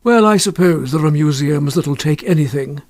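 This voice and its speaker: A man voicing a sardonic, haggling gentleman collector in an audiobook, with ironic, clipped remarks. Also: on the high side, normal speed, dry recording, natural voice. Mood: ironic, dismissive. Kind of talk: audiobook character acting.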